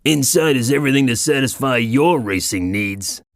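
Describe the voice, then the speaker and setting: An actor playing a wry creature guide in a video game, with a showy announcer delivery and sly asides. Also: male voice, medium pitch, lively intonation, moderate pace, no echo, natural voice. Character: wry Funkey creature guide to a racing complex. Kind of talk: video game character voice acting.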